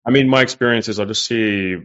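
A man's voice: lowish voice, fast, fairly bright tone. A man hosting a livestream and reading viewer messages, talking in a loose, rambling way with plenty of swearing and fillers. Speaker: livestream host reading super chats. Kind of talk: casual livestream chat. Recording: slightly muffled stream audio.

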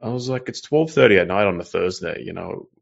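Man, very deep voice, casual stream host, rambling, swears a lot. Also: lively intonation, fairly quick, gravelly. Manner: loose, rambling, profane, with many fillers. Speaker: livestream host reading super chats. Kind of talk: casual livestream chat. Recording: slightly muffled stream audio.

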